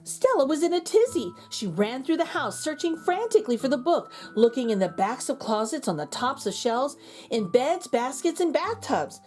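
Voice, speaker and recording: Lady doing a kids book read aloud, high voice, very animated, faint music. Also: moderate pace. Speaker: children's read-aloud host. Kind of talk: expressive storybook narration for children.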